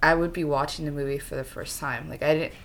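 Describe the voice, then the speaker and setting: A woman's voice: low-pitched, fairly even intonation, quick pace, fairly bright tone. A young adult woman co-hosting a podcast in casual chat, peppering her talk with "like" and acting out quoted reactions. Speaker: podcast co-host.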